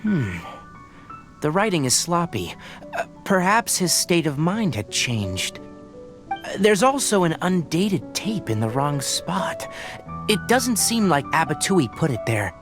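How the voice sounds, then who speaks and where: A thoughtful game investigator with a very low voice for a woman. The delivery is deliberate and pondering, yet lively in its rise and fall. Music plays clearly behind it. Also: exaggerated voice. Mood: pensive. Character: thoughtful, measured investigator examining old recordings. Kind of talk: video game dialogue.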